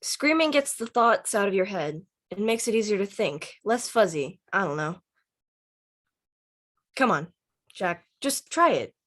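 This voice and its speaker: A woman's voice: mid-range voice, animated, a little slow, pauses often, a bit bright. Woman reading an introspective, understated teenage boy. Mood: playful, coaxing. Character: introspective, dryly witty teenage boy named Dante. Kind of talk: screenplay table read dialogue.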